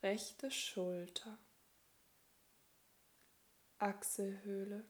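A woman leading a guided yoga relaxation as instructor, speaking calmly and soothingly in short phrases with long pauses. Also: mid-range voice.

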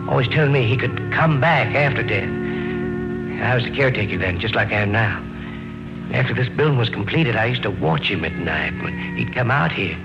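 An actor in an old radio drama playing a superstitious rural caretaker, telling things in a halting, folksy, ominous way. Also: male voice, mid-range voice, creaky. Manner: folksy, halting, foreboding storytelling. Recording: muffled vintage radio recording, music behind.

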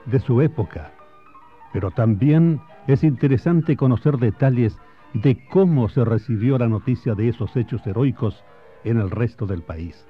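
A man playing a solemn storyteller, narrating patriotic history and memories in a measured, literary radio drama style. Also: mid-range voice, close to an everyday voice. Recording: muffled radio broadcast, faint music behind.

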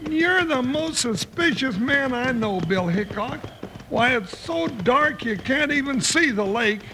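A very high-voiced comic cowboy sidekick, folksy and forever complaining. He is skittish and exclamatory, in old radio western style on a noisy recording. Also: lively intonation, measured pace, heavily acted. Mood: exasperated. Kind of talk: 1950s radio western acting.

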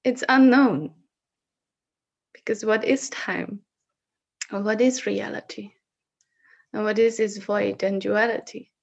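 A woman from the Netherlands with a Dutch accent, sharing personal reflections on a video call in a slow, searching way with frequent restarts. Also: medium pitch, steady tone, creaky, muffled recording. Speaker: session facilitator.

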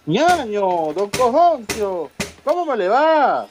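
A man plays a humble immigrant in a comic radio soap. His voice is high and swoops expressively. He explains things earnestly and respectfully. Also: very animated, takes it slowly, background noise, exaggerated voice. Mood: respectful greeting. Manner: deferential, explanatory. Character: polite, earnest Ecuadorian immigrant godfather pal. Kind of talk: humorous radio soap acting.

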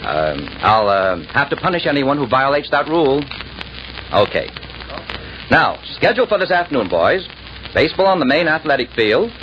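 Actor doing genial male camp director, lively intonation, hesitant, avuncular, 1940s radio drama, muffled old broadcast. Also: medium pitch, normal speed, slight background noise, lightly acted. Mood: genial, instructive. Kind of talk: 1940s radio comedy acting.

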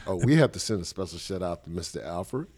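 A man with a smooth, deep voice, a radio DJ speaking off to the side in a mellow, laid-back way. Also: expressive, fast, slight background noise.

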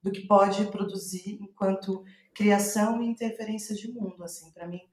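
A woman with a Brazilian Portuguese accent in a reflective interview, thinking aloud haltingly and trailing off. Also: medium pitch, fairly even intonation, a little mellow, echoey room. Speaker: playwright, podcast guest.

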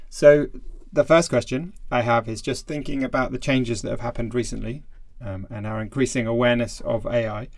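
A man hosting a podcast, introducing guests and asking questions in a measured, polite way. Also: mid-range voice, fairly even intonation, no echo. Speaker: podcast host.

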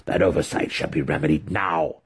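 A man voicing a disfigured, cruel dark-elf wizard master who growls, snarls and drags out his taunts in a fantasy audiobook. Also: very deep voice, fairly even intonation, fairly quick, husky, heavily acted. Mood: gloating, taunting. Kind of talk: dramatic fantasy audiobook acting.

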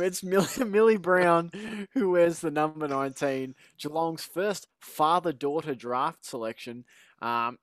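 A man's voice: medium pitch, a bit bright, no room sound. A man hosting a casual podcast chat, rambling and teasing his co-hosts with lots of laughter. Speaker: podcast host.

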